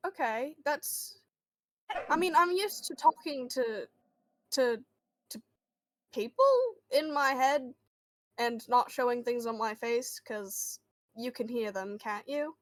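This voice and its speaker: A woman playing a friendly but guarded boy who hears voices, halting and reassuring, in an improvised tabletop roleplay. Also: fairly high pitch, no room sound, low-quality recording. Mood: hesitant, guarded.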